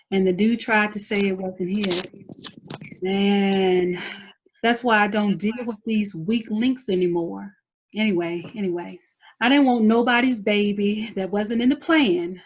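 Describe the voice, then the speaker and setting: A woman's voice: medium pitch, unhurried, close to an everyday voice. An actress reading a proud, driven twenties woman, blunt, slangy and defensive, in a TV drama table read. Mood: confessional, upset. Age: young adult. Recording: dull lo-fi recording.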